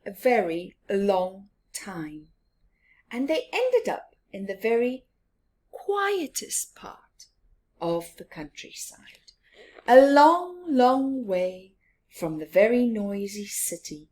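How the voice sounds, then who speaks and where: A woman reading a picture book aloud to young children, expressively, drawing out words and dropping into hushed tones. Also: a little high. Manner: drawn-out words, drops into hushed whispers. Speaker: children's storyteller.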